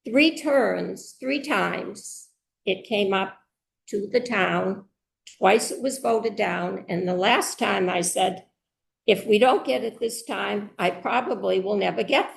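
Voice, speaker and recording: An older woman from Massachusetts introducing herself at a public meeting in a measured, deliberate way. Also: mid-range voice, creaky, slight background noise. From Belmont, Massachusetts, US. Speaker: retired teacher, committee applicant. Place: indoor public meeting room.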